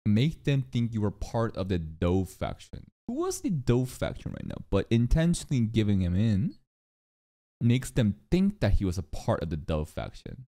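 Animated young man reacting to anime. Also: on the low side, moderate pace. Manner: animated, swears a lot, talks back at the screen. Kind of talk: live reaction commentary. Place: small room indoors. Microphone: desk mic on arm.

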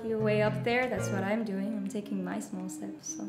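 A woman's voice: mid-range voice, steady tone, normal speed, echoey room, loud music behind the voice. A young woman in her twenties from Bulgaria, chatting upbeat and casually in an interview, with lots of "like" and "uh" fillers. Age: 20s. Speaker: singer-songwriter guest. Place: small bright studio room. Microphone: studio condenser mic on stand.

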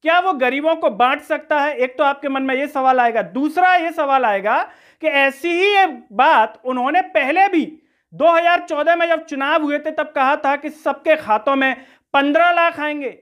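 A man's voice: very high-pitched, normal speed, fairly bright tone. A man presents political commentary in a rhetorical, questioning, accusatory way. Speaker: news commentary host. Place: small room indoors.

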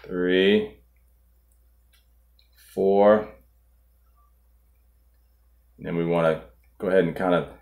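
Calm guy w a real low voice showing how to tie a knot step by step, indoors at a table. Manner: calm, methodical, walks through each step. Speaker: fishing channel host. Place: indoor studio in front of a boat.

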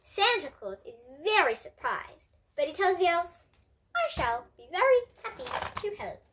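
A young girl reading a picture book aloud for children as an expressive storyteller who now and then stumbles over a word. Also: extremely high pitch, normal speed, noisy background. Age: child, about 8 to 11. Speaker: host and reader of children's bedtime books. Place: indoor room with bookshelves.

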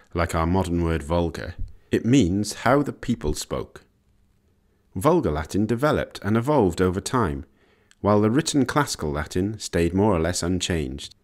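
A man reading scripted educational narration in a measured, explanatory way. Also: low voice, darkish tone. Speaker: narrator of an educational language history video.

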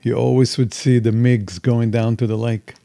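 Gravelly older man. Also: mid-range voice, flat delivery, moderate pace, mellow, no echo, clean studio sound. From Israel. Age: 60s. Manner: expansive, candid storyteller. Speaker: guest. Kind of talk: casual interview chat.

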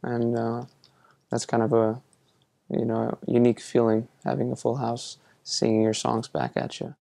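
A young man from Iceland answering interview questions casually, with a relaxed, hesitant manner full of fillers and restarts. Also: medium pitch, normal speed, vocal fry, no echo, studio quality. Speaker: musician being interviewed.

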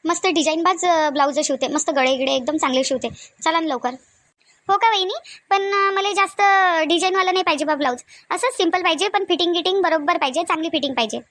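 A woman voicing chatty, bustling village women in a cartoon comedy, with a Varhadi Marathi accent and colloquial, animated chatter. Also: very high-pitched, quick pace, dry recording. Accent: Varhadi Marathi from Vidarbha.

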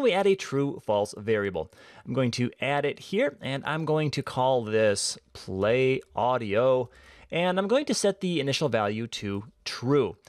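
A man giving a casual, conversational software tutorial, explaining each step as he goes. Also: mid-range voice, dry recording, studio quality.

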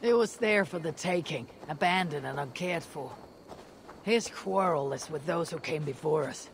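An actress playing a confident young female Viking warrior in a game, husky, stoic and deliberate in her speech. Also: sits low, lively intonation, slight background noise. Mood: confident, grim. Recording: faint game ambience behind.

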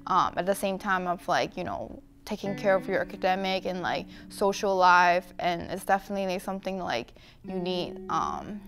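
A woman's voice: lowish voice, lively intonation, normal speed, hardly any pauses, a bit bright. A young woman from China, a student speaking casually and hesitantly with many fillers. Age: young adult. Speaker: international student. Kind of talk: casual interview.

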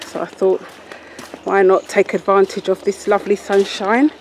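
A woman's voice: mid-range voice, little pitch movement, moderate pace. A woman with a London accent chatting casually to a handheld camera as she vlogs. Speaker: vlogger. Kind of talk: casual vlog chat. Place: outdoors on a village path and at a small shop. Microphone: handheld camera at arm's length. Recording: clear outdoor and room noise.

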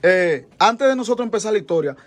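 Chatty Dominican interviewer. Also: male voice, sits high, expressive. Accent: Dominican Spanish. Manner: chatty, friendly, quick follow-up questions. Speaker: interviewer, host. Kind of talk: casual interview.

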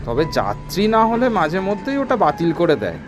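A fairly high male voice for a dutiful cartoon office worker. He is polite and earnest, with very lively intonation. Music sits behind the dialogue. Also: a little fast. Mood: earnest, concerned. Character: considerate, dutiful male office worker, an elder-brother figure. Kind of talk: Bengali cartoon voice acting. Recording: loud music bed over dialogue.

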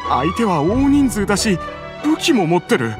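Frightened weary young victim, anxious telling, pitch climbing and falling. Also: male voice, very animated, moderate pace, over music. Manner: anxious, shaken storytelling. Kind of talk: Japanese game voice acting.

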